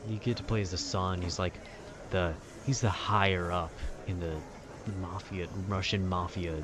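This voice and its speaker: A man in a calm, casual, nerdy ramble about video games, meandering and often interrupting himself. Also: low-pitched, background noise. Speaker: solo audio creator rambling to the listener. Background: urban ambience.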